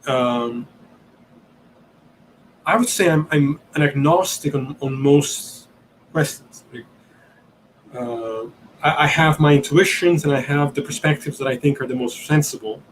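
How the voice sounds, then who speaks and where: A man's voice: on the high side, unhurried, long pauses, a little hiss or hum, poor audio. Hesitant Italian-born man thinking aloud. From Italy. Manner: hesitant, searching for words, many ums and restarts. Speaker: podcast host answering questions.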